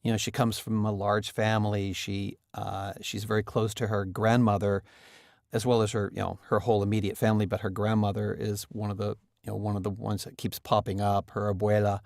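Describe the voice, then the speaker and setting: A man giving a reflective interview about drama characters, halting as he searches for words. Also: on the low side, level tone, gravelly, darkish tone, no echo, studio quality.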